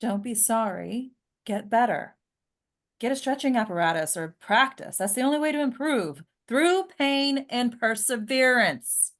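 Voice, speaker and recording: A woman's voice: fairly high pitch, animated, a little slow. A woman playing a stern, demanding dance studio madame who gives clipped, imperious commands in a script table read.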